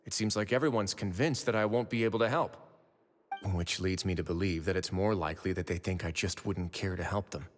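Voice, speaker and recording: An actor playing a composed, shrewd prison administrator in a video game, measured, deliberate and dryly confident. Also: male voice, sits low, slight background noise, natural voice. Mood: explanatory, confident. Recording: reverberant sound with music behind.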